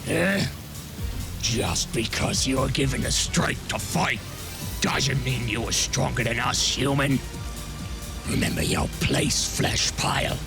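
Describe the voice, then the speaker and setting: A gruff, rowdy warrior played by a man in an audio drama, with a heavily creaking gravel voice. His pitch swings widely as he sneers and barks over clear background music. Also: lively intonation, takes it slowly. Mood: scornful, agitated. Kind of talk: fan audio drama acting. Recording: music under the voice.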